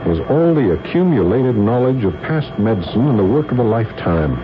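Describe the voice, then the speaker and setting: An actor playing an obsessed, domineering scientist in an old-time radio drama, with a grandiose, commanding delivery. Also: male voice, medium pitch, big pitch swings, brisk, lightly acted. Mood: grandiose, fanatical. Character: obsessed middle-aged scientist doctor, fanatical and domineering. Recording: noisy, muffled old radio broadcast.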